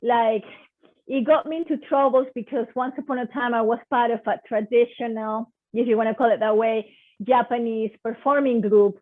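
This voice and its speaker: A woman with a Venezuelan Spanish accent talks with eager, expressive enthusiasm about her passion. Her voice sits slightly high. The audio is tinny and narrow, like a phone call. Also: moderate pace. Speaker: guest performer. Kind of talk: casual online presentation.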